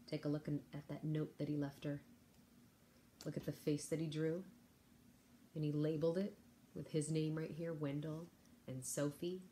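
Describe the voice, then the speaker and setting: A woman teacher reading a picture book aloud to children, expressive, with chatty asides to her young listeners. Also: low-pitched, moderate pace, pauses often, a little hiss or hum.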